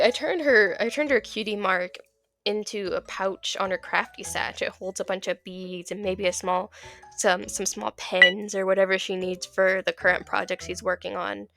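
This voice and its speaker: A woman's voice: mid-range voice, creaky, a bit bright. A young woman narrating a casual art vlog, laughing often and tripping over her words while her voice is still off from being sick. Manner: giggly, stumbles and repeats words. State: recovering from illness, voice a bit off. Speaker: video creator.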